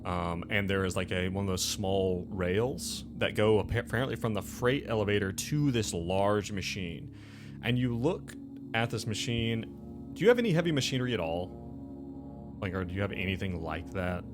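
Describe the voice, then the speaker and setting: A man running a tabletop game, narrating off the cuff in a halting, conversational, explanatory way. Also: fairly low pitch, lively intonation. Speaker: game master. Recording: music behind the voice.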